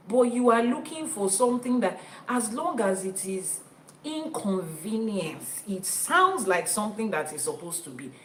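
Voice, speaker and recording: A woman's voice: medium pitch, reverberant, poor audio. A Nigerian woman whose first language is Yoruba, teaching as an Ifa priestess and stressing her points by repeating them. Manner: emphatic, repeats key phrases for stress. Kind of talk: teaching talk to an online audience.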